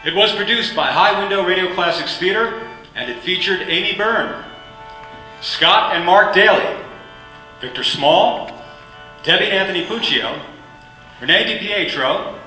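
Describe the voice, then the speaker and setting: A man narrating a radio play and reading the closing credits in an earnest, measured way. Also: high-pitched, animated, sharp tone. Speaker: narrator and announcer. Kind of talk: scripted narration. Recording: echoey room, faint music.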